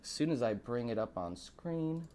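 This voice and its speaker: A man hosting a live stream, a little hoarse, greeting chat and building up the evening with showy, dramatic lines. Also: medium pitch, lively intonation, moderate pace, warm tone, a little hiss or hum. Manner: showy, dramatic build-ups addressed to viewers. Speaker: host of a live stream show.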